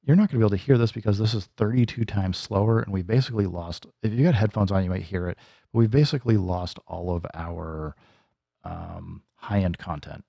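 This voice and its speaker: A man's voice: deep voice, fairly quick, vocal fry, warm tone, dry recording. A man casually teaching music gear hands-on, thinking aloud informally as he demonstrates. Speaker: music gear tutorial host. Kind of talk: casual hands-on software tutorial.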